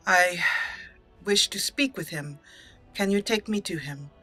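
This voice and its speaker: A woman's voice: lowish voice, measured pace, sharp tone, breathy edge, faint music behind. A woman hosting a let's play, giving playful roleplay commentary and dramatically voicing her character's lines.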